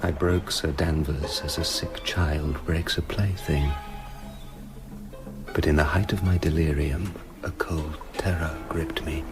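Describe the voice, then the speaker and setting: An actor playing a tormented British gentleman scientist confessing his dark side in a brooding, literary radio drama narration. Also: a bass voice, fairly even intonation, a little slow, over loud music. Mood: grim, fearful.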